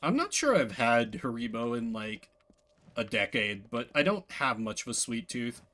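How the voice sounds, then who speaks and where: A man's voice: mid-range voice, very animated, moderate pace, fairly bright tone. A man chatting casually as he streams a game, rambling through opinionated, self-deprecating asides. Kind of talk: casual chat while playing a game. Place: indoors at a desk.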